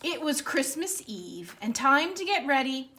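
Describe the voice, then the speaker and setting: Animated, high-pitched woman reading a storybook. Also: measured pace, slight background noise. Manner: expressive, dramatic emphasis, addresses young listeners with questions. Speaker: librarian reading at children's storytime. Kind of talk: animated read-aloud of a children's picture book.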